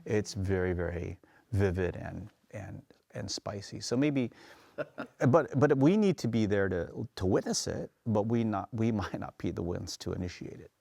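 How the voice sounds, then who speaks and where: A man's voice: on the low side, unhurried, vocal fry, darkish tone, dry recording, studio quality. A man on a panel telling anecdotes with stumbling restarts. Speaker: panel speaker, tour guide. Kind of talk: panel discussion.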